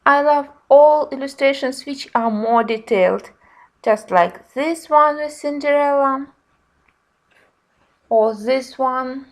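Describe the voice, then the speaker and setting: Woman, somewhat high pitch, casual reviewer narration, simple non-native phrasing, slightly halting. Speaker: colouring book reviewer.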